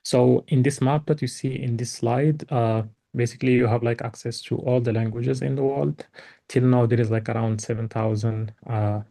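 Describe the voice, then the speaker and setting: Moroccan guy giving a lab talk, mellow voice, pretty flat, says like a lot. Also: medium pitch, moderate pace, creaky. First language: Tarifit. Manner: many fillers and restarts, heavy use of "like". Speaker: invited speaker. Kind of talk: academic talk presenting slides.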